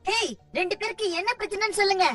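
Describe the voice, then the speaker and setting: A woman voicing a confident know-it-all child, brisk and matter-of-fact, in a children's cartoon dub. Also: very high voice, distant mic. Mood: self-assured. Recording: loud cartoon music underneath.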